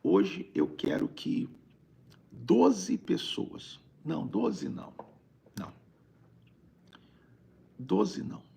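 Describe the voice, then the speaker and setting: Gravelly Brazilian man preaching informally. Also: on the high side, wildly swooping pitch, very slow, long pauses, warm tone. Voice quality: raspy, gravelly. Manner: conversational, repeats phrases for emphasis. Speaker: bishop, preacher hosting a live stream. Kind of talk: informal livestream preaching, reading viewer greetings.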